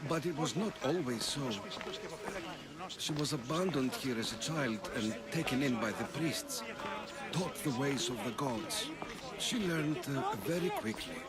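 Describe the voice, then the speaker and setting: An actor playing a nervous, pious old temple priest in a video game, hesitant and evasive in manner. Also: male voice, mid-range voice, animated, loud music behind the voice, natural voice. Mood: uneasy, guarded. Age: older. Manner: hesitant, halting, secretive. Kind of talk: video game dramatic dialogue.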